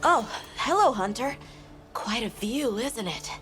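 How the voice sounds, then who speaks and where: An earnest young apprentice. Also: female voice, fairly high pitch, lively intonation, a bit bright. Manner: earnest, slow and careful. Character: earnest young apprentice, eager to prove himself. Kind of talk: video game cutscene acting. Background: game score music.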